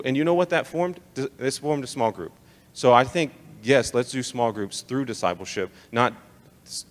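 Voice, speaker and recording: A young American man giving an informal, emphatic live talk to church leaders, conversational and slangy, often pressing rhetorical questions. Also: mid-range voice. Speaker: speaker leading a church leadership training session. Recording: live talk in a reverberant hall.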